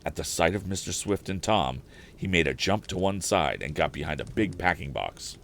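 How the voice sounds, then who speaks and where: A man with a very deep voice reads an old adventure novel as a live storyteller. His delivery is expressive, with pitch that moves around a lot, in the manner of an audiobook. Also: moderate pace, vocal fry, slight background noise. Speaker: storyteller, live stream host.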